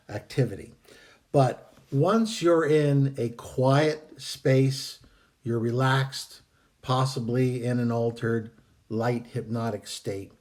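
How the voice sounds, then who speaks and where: Hoarse man explaining calmly. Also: mid-range voice. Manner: relaxed, halting, frequent ums and uhs. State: hoarse from a cold. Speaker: astral projection teacher. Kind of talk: casual instructional talk.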